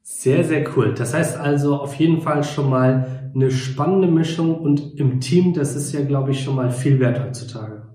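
A man's voice: mid-range voice, measured pace, hardly any pauses, mellow, roomy sound. A man interviewing a guest, affirming her answers in casual speech. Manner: affirming, friendly back-channeling.

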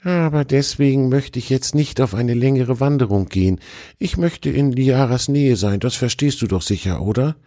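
A man voicing a gentle, thoughtful male fox who talks softly and hesitantly. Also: mid-range voice, expressive, normal speed, no echo, clean studio sound, pitched above the performer's own voice, close to an everyday voice. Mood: quietly happy. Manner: soft, hesitant, musing.

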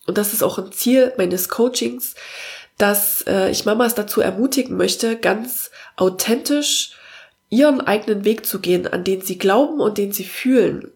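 German woman with a cold, gravelly voice. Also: mid-range voice, moderate pace, darkish tone. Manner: earnest, encouraging, with many filler sounds. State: has a cold. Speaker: podcast host and parenting coach. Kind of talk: casual spoken monologue.

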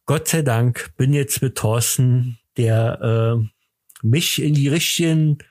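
A man hosting a podcast in a casual, rambling chat, talking haltingly with joking self-importance. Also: mid-range voice, darkish tone, no room sound, clean studio sound. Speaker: podcast host.

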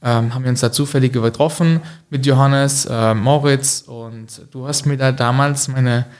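A young man talking as a podcast guest in a relaxed way, pausing often with hesitations. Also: medium pitch. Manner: relaxed, many "äh" hesitations, quick-witted. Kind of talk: casual podcast chat.

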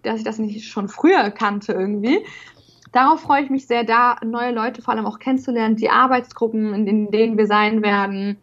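A young woman who stutters, talking as a guest on a podcast with repeated syllables and frequent fillers. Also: medium pitch. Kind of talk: casual interview talk. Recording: dull lo-fi sound.

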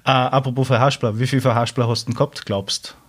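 Brief: man, podcast host, easygoing interviewer, mid-pitched voice. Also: fairly even intonation, quick pace.

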